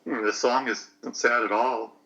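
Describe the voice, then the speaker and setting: A man in his late forties talking slowly through a personal reflection, hesitant and searching for words. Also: mid-range voice, steady tone, creaky, sharp tone, highs cut off. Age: 40s.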